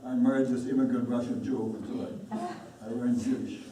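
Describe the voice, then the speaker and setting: A man's voice: mid-range voice, steady tone, moderate pace. A man talking in a group discussion, hesitant, with frequent restarts. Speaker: panel speaker, scientist. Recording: very echoey room, distant mic, noise.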